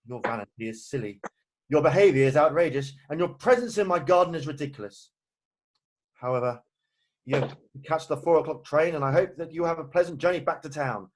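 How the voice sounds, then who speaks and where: A man reading a stern, indignant, proper gentleman in an amateur play read-through on a video call. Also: on the high side, a little fast, close to an everyday voice. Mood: stern, irritated.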